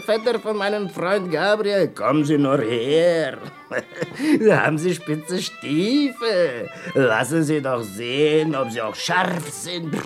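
A man playing a boisterous, teasing soldier and would-be revolutionary in a radio play, brash and theatrical with a husky voice. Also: high voice.

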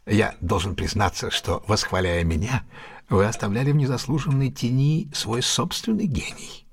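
An actor playing a confident, ironic detective in an audio drama, husky-voiced, with a teasing, deliberate, theatrical manner. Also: male voice, on the low side. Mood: ironic praise. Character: confident, amused, ironic male detective, keenly analytical. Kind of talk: Russian audio drama acting.

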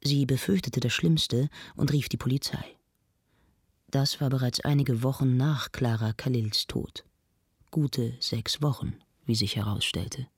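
An actress playing an earnest arts presenter, narrating a scripted mock documentary in a measured, formal, literary manner. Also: female voice, rumbling low, slightly rough, no echo, studio quality, exaggerated voice. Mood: measured, serious.

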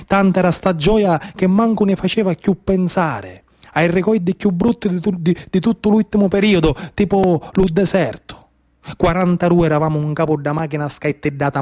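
A man's voice: high voice, darkish tone, close to an everyday voice. A man playing a desperate, exhausted migrant adrift at sea, with a Sicilian accent and urgent, repetitive phrasing, in a theatrical radio monologue.

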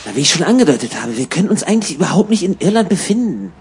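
A man's voice: high-pitched, very animated, racing, a little mellow. A man playing a restless, irritable emigrant in a hotel room, in conversational radio play dialogue. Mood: insistent. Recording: clear background ambience noise.

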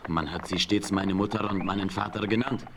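A man's voice: on the low side, fairly even intonation, fairly quick, background noise, close to an everyday voice. A man playing a solemn young Comanche chief. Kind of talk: 1970s radio play acting.

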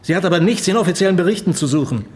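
An actor playing a strict, authoritative police chief inspector in a radio drama, formal and reprimanding. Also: male voice, fairly high pitch, expressive, brisk, slightly rough. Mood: reproving.

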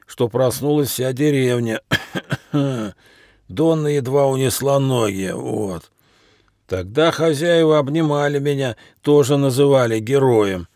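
A man voicing an old, hungry, bitter stray dog, grumbling plaintively in halting, wheezy phrases broken by coughs. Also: medium pitch, creaky, a little mellow, dry recording, studio quality, voice pushed up.

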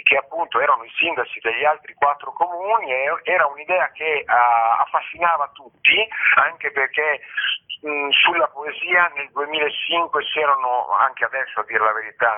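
A middle-aged man from Italy being interviewed as a festival director, explaining things hesitantly and often repeating and correcting his words over a narrow phone line. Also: on the high side.

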